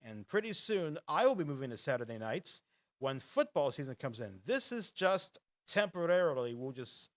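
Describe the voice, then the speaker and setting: A man hosting a casual live podcast, chatting and reading quiz questions in a rambling way and laughing often. He has a booming radio-style voice. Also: big pitch swings, moderate pace. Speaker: podcast host.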